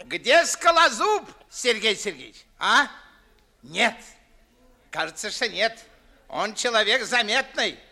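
An actor playing a fussy, fawning elderly Moscow gentleman, bustling and repeating himself in classic 1950s stage style. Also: extremely high pitch, heavily acted. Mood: fussy, anxious.

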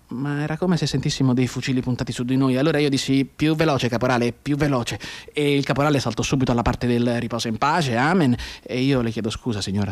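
An actor playing a soldier telling a dead comrade's mother about war, in a theatrical, repetitive radio monologue that builds from hushed asides to outbursts. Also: mid-range voice, natural voice.

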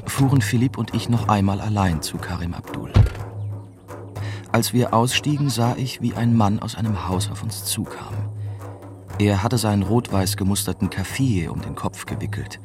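An actor playing a young German journalist, narrating a radio drama in the first person with measured, descriptive delivery. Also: male voice, on the low side, close to an everyday voice. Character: young German journalist recounting his Iraq mission. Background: music.

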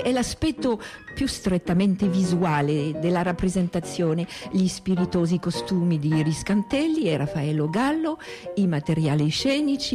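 A woman reading scripted narration and reviews as a radio narrator. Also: fairly low pitch. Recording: music under the voice. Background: music.

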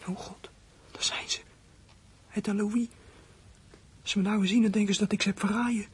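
A performer playing a small troubled runaway boy in a radio drama, husky and hesitant, speaking in plain colloquial phrases. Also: mid-range voice, fairly even intonation, normal speed, a little hiss or hum, lightly acted. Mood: panicked, hushed. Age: child character. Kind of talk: radio drama acting with whispered inner monologue.

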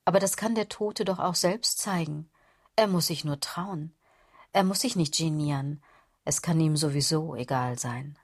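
An actress playing a dry, mocking woman commentator in a literary radio drama, speaking in a husky voice with deliberate, pointed phrasing. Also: low-pitched, no echo. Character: dry, mocking female commentator goading the listener.

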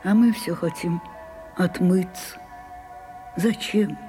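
An older woman playing a proud, weary old French stage actress in a radio drama, declaiming broodingly and dropping into breathy whispers. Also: on the low side, slow, warm tone, faint music behind. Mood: bleak, bitter. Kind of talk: theatrical radio drama acting.

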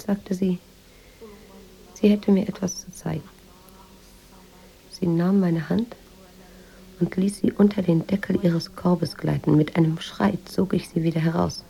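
An actress playing a reflective American woman writer in a radio drama, telling her memories in a measured, introspective way. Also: on the low side, vocal fry, dark tone, husky, exaggerated voice, poor audio. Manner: measured, introspective, literary storytelling. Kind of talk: radio drama narration and dialogue.

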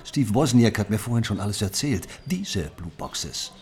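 A man's voice: on the low side, expressive, normal speed, a little mellow. A man acting an impatient, sarcastic police investigator in a German radio drama, needling a suspect. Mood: stern, pressing. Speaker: actor in a radio play.

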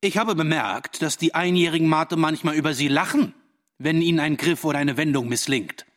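A man playing a stiff naval officer in a radio play, pitched somewhat high for a man. He addresses subordinates formally and deliberately, with a condescending military air. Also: a bit bright, lightly acted. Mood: pompous, approving. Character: stiff, patronising naval officer, a lieutenant commander. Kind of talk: radio drama acting.

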